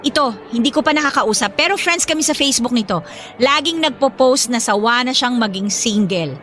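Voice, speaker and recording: A woman's voice: on the high side, lively intonation, brisk, a bit bright, slight background noise. A woman with a Filipino accent playing a pushy, teasing young adult daughter in a radio drama. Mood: eager, teasing.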